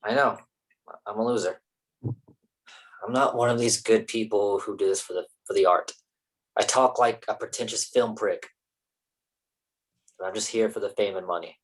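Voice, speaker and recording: A low, husky voice for a defensive and ambitious male screen actor , played by a woman. The delivery is casual and sweary, in the manner of a staged play reading. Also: fairly even intonation, normal speed, pauses often, rough recording. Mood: subdued. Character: defensive, ambitious young male film actor named Nick.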